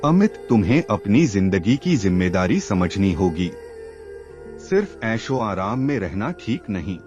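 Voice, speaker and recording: A man plays a stern rich father in a cartoon storybook, lecturing his spoiled son in a low, swooping voice. The sound is dull and muffled, with background music running under it. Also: big pitch swings, a little slow. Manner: firm, lecturing. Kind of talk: animated storybook acting.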